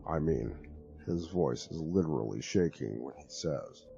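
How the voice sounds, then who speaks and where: A man with a very deep, gravelly voice reads a horror story aloud in a grave, hushed manner. Music plays clearly behind him, and the recording sounds dull and lo-fi. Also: animated, unhurried. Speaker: narrator. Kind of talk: dramatic horror story reading. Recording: lo-fi with music underneath.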